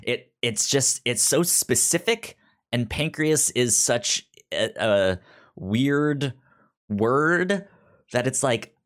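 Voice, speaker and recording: Animated stammering male podcast host. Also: medium pitch, takes it slowly. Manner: animated, stumbling restarts and repeated words. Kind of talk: casual podcast chat. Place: indoors, in a jacket.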